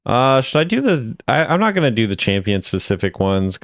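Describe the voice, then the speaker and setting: Guy doing casual game commentary, lowish voice that moves around a lot, dry close sound. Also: very animated, brisk, no room sound. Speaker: gaming video host.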